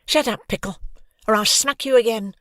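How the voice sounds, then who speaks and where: A narrator voicing a hot-tempered schoolgirl who shouts with indignant fury. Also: medium pitch, normal speed, dry recording, studio quality, pitched above the performer's own voice, close to an everyday voice. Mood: threatening.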